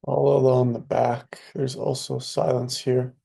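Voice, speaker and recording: Slow, creaky, mellow man guiding meditation. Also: mid-range voice, completely flat delivery. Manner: soothing, unhurried, with long pauses. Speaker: guided meditation leader. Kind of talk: guided meditation, slow and spare.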